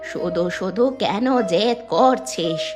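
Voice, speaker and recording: An old cartoon village granny acted by a woman, with a lowered voice and slow delivery. She is cautious and protective, admonishing as she talks. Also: over music. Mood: cautioning. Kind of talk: children's cartoon dialogue.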